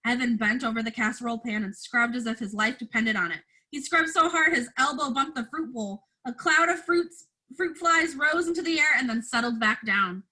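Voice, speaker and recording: High, bright-voiced woman reading a story. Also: normal speed, rough recording. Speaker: teacher reading aloud to first graders. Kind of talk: storybook read-aloud, expressive narration.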